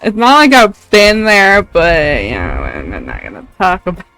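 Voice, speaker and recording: A woman's voice: on the low side, sing-song, measured pace, a bit bright, rough recording. A woman streamer chatting casually while playing a game, with music in the background. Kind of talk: casual gameplay stream chat. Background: faint game music.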